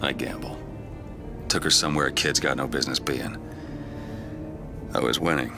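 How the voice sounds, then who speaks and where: A hard-boiled American private eye in a noir game. His voice is extremely low and gravelly, world-weary and terse, with music playing behind him. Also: fairly even intonation, normal speed. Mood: weary, bitter. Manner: terse, wary, noir toughness. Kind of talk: video game voice acting, noir dialogue.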